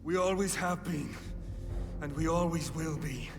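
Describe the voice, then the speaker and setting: An actor playing a defiant, fanatical vampire hunter in a video game, declamatory and scornful. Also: male voice, on the high side, a little slow. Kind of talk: dramatic video game acting. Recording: long hall reverb, dramatic music behind.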